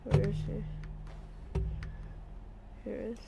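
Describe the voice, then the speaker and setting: A woman's voice: slow pace, loud music behind the voice. A woman streaming a game, muttering short, casual reactions to herself as she plays. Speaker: streamer playing a story game. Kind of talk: casual reactions while gaming.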